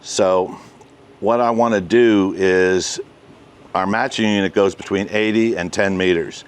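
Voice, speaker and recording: An older man in his sixties giving a casual step-by-step tutorial outdoors as host, with halting, deliberate delivery. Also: deep voice, steady tone, clean tone. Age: 60s. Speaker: host, ham radio hobbyist. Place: outdoors, residential backyard and covered patio.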